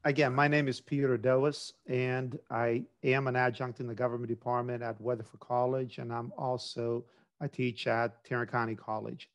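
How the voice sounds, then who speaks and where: A middle-aged man from Greece, a government instructor, giving a measured academic lecture over a video call. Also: medium pitch, flat delivery, muffled recording.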